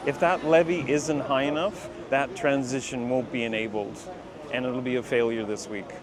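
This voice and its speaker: A man giving a measured, halting explanation in an outdoor interview. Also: mid-range voice, steady tone, a crowd in the background.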